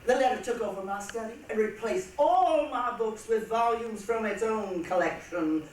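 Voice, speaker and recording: A woman's voice: on the high side, moderate pace, a little mellow, natural voice. A woman playing a whimsical, put-upon storyteller in a staged reading, wry and theatrical. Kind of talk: stage readers' theatre monologue. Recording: echoey hall, distant mic, faint noise.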